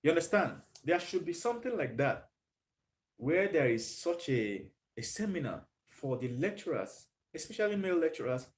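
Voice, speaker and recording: A man's voice: on the high side, darkish tone. A man hosting a live stream, thinking aloud with frequent fillers and answering viewer comments. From Nigeria.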